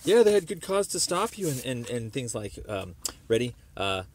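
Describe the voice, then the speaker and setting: A man making a phone call, explaining a complaint in a halting, wordy, circling way. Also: mid-range voice, sing-song. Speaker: caller. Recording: noisy phone call recording.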